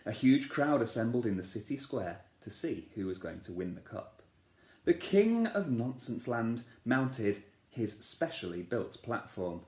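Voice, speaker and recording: Man reading a children's story, playful, very lively pitch, echoey room. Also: unhurried. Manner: playful, expressive, asks the listener questions.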